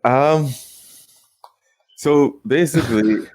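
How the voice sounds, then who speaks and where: A man in his late twenties, a guest investor telling stories in a casual interview with quick rueful asides. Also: medium pitch, darkish tone, no room sound. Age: 20s. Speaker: guest real estate investor.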